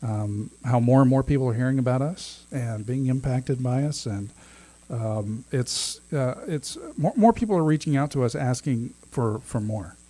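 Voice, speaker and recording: A man's voice: medium pitch, normal speed, few pauses, mellow, dry recording. A man in his thirties co-hosting a podcast, chatting casually, laughing often and restarting his sentences. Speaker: podcast co-host. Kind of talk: casual chat.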